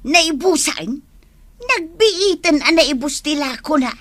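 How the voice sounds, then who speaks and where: Actress as complaining, scheming Ilocano village woman for comedy drama; high, forceful bright voice, close dry sound. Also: expressive, slow, no echo, exaggerated voice. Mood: wheedling.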